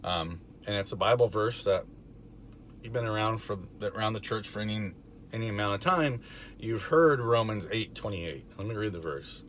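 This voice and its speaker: A middle-aged man with a raspy, gravelly voice, hoarse from being under the weather, talks casually about faith and recovery from his parked car, with fillers and soft chuckles. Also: on the low side, moderate pace, slight background noise. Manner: relaxed, rambling with fillers and chuckles. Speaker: recovery vlogger. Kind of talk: casual faith talk to camera.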